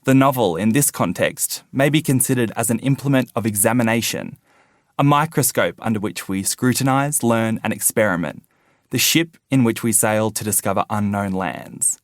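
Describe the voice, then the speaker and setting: A man's voice: fairly low pitch, moderate pace, no room sound, studio quality. A man presenting a podcast as host, in a scripted, read-out style.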